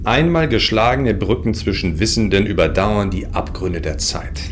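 A man's voice: on the low side, normal speed, a little hiss or hum, lightly acted. A man playing a confident, grandiose sect leader in a science fiction radio play, speaking in a lofty, solemn way. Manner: self-assured, solemn, lofty. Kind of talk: radio play dialogue and first-person narration.

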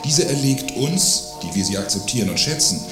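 An actor playing an indignant, self-righteous defender of the social order in a radio play, speaking in a pompous, stilted way. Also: male voice, mid-range voice, lively intonation, moderate pace, lightly acted. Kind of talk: scripted radio play dialogue. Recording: echoey room, music behind.

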